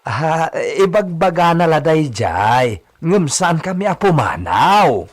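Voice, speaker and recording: A man's voice: on the high side, very animated, takes it slowly, dry recording, studio quality, full character voice. A man playing an old, deferential servant of a villa in a radio comedy drama. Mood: servile, puzzled.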